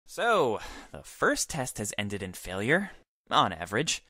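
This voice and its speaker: A woman's voice: a bass voice, sing-song, a little slow, pushed and bright, no echo, studio quality, full character voice. A woman voicing a pompous, demanding male leader of an exterminator squad, with formal, theatrical speech in a visual novel. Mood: exasperated.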